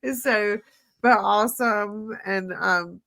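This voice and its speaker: A woman's voice: medium pitch, slow, gravelly, pushed and bright, dry recording, clean studio sound. A woman hosting a casual chat about live music, gushing and rambling with lots of filler words, sniffly from crying. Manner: gushing, emotional, rambling with many likes. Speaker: podcast host.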